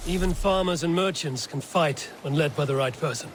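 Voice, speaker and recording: An actor voicing a tough, honorable Viking leader in a video game, with a commanding, challenging manner. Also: male voice, a little high, a little fast, close to an everyday voice. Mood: steady. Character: tough Viking warrior leader, goading yet honorable mentor. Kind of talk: dramatic video game acting. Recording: clearly noisy game background ambience.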